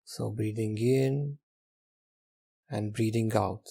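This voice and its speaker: A man leading a guided meditation in a gentle, unhurried manner, leaving long pauses between instructions. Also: lowish voice, steady tone, creaky, husky.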